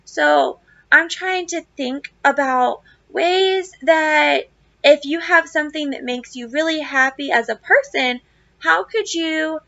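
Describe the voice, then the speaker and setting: Female educator for children's read-aloud, high-pitched and bright, expressive emphasis, dry close sound. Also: gravelly, dry recording, lo-fi sound. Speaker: teacher reading a book aloud to children. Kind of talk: read-aloud of a children's novel with casual asides.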